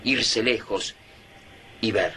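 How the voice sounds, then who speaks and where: A man's voice: fairly low pitch, very slow, pushed and bright, a little hiss or hum, exaggerated voice, low-quality recording. An actor playing a pragmatic, resolute man leading survivors of an alien invasion in a radio play, firm and urgent. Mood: grim, resolute.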